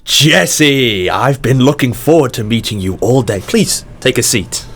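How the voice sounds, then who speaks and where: A man's voice: mid-range voice, expressive, a little slow, a bit bright, slight background noise. An actor in an audio drama playing a mysterious, encouraging male company boss who is polished, effusive and probing. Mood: welcoming.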